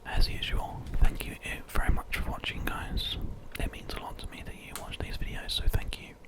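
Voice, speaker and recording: British male ASMR host for game commentary; constant breathy whisper, gentle and unhurried. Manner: soft, gentle, unhurried rambling.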